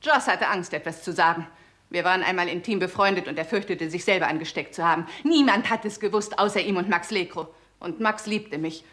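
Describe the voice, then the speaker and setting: A woman's voice: lowish voice, a little fast, a bit bright, close to an everyday voice. A woman playing an embittered, weary and defiant film star confessing to murder in a 1960s German radio crime drama, with a theatrical, sarcastic edge. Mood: hateful, confessing.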